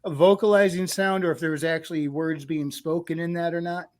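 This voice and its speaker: Curious male host, higher voice. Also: steady tone, brisk. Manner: friendly, curious questioner. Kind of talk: casual chat.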